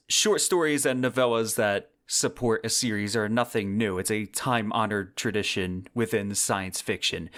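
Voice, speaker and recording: A man's voice: fairly low pitch, fairly bright tone. A man hosting a podcast, delivering a semi-scripted commentary monologue in a conversational, wordy way with emphatic phrasing. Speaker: podcast host.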